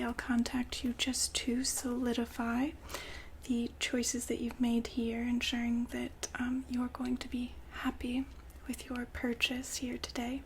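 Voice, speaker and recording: A woman whispering softly and politely in an ASMR customer-service roleplay, breathy and gently hesitant. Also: on the high side, slight background noise. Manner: soft-spoken, polite, unhurried, gently hesitant. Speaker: ASMR roleplay performer as a shop consultant.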